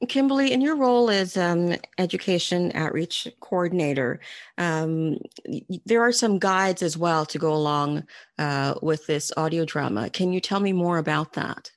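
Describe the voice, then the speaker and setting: A woman hosting a casual interview, phrasing her questions haltingly. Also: fairly low pitch, no room sound, studio quality. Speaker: interview host.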